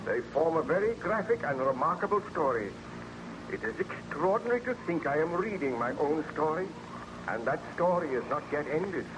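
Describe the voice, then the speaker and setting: An actor playing a tormented, guilt-ridden scientist with grave, theatrical delivery in an old-time radio horror drama. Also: male voice, a little high, animated, moderate pace, natural voice. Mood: haunted, grave. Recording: noisy old radio recording.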